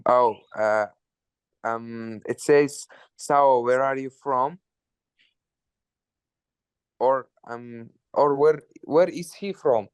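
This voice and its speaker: A man's voice: mid-range voice, clear, resonant tone, no echo. A man taking part in a language class as a student, hesitantly reading aloud and translating. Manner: hesitant, halting, unsure.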